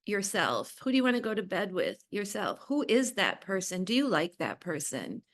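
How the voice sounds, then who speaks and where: A woman's voice: mid-range voice, big pitch swings, moderate pace. A woman talking casually and reflectively as a podcast guest, blunt and emphatic, repeating short phrases. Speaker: podcast guest, business owner. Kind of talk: casual reflective interview talk.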